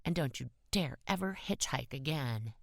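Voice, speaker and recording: A woman's voice: rumbling low, animated, normal speed, vocal fry, no room sound, studio quality, heavily acted. A woman voicing a lonely, affectionate young divorcing woman in an audiobook story, confiding and gushing. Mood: affectionate, earnest.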